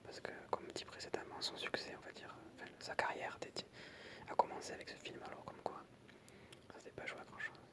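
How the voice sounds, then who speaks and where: An ASMR creator whispering in French, reading aloud softly with informal, hesitant asides. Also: brisk.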